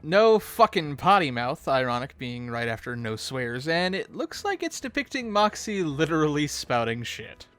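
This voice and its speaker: Animated high-pitched man commentating. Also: moderate pace, hardly any pauses, soft background music. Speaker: co-host of a fan commentary show. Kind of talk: scripted back-and-forth commentary.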